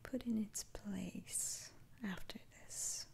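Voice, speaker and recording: A woman whispering an ASMR role-play as a kindly, slightly teasing witch doctor, soothing and hushed. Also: mid-range voice, steady tone, slow, gravelly. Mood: soothing, reassuring.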